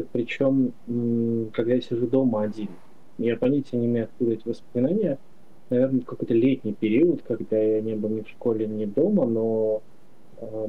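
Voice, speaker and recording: A man with a middle-pitched voice reminisces on a podcast, pausing often with drawn-out hums. The recording sounds slightly dull and lo-fi. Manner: reflective, pauses with drawn-out hums. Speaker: podcast co-host. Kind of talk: casual reminiscing chat.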